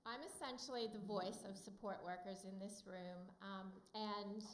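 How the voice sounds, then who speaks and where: A woman with an American accent giving a friendly, loud conference talk with many fillers to a room of support workers. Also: medium pitch, fairly even intonation, a little slow, echoey room, slight background noise. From United States. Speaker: company speaker at a summit. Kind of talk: conference presentation.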